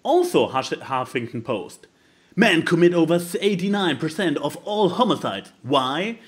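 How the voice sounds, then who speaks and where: A man with a fairly high, highly animated voice co-hosts a casual comedy podcast. He reads posts aloud and comments on them in a sarcastic, mock-dramatic way. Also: unhurried. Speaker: podcast co-host.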